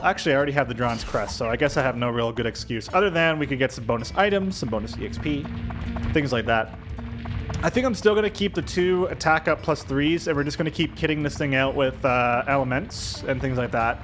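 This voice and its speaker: A man giving casual video game commentary, thinking aloud as he weighs his options. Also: a little high. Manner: thinking aloud, indecisive musing. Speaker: video game streamer doing a let's play. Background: video game music.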